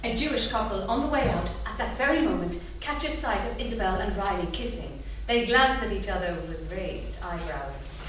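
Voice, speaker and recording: A woman's voice: mid-range voice, animated, normal speed, few pauses. A woman reading stage directions as scripted narration, recorded in an echoey theatre. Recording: echoey theatre, distant mic, noisy.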